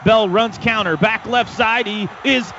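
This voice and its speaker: An American man calling live football on radio play-by-play, excitable, building drama in short punchy phrases. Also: sits high, measured pace, some chatter behind. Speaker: play-by-play announcer. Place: stadium radio booth. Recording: dull noisy stadium radio broadcast.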